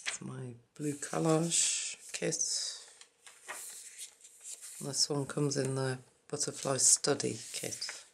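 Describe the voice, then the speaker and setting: Woman papercraft host, hoarse and croaky, slow and halting, faint noise behind. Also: very deep voice, steady tone. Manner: quiet, halting, naming items one by one. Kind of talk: casual journal flip-through.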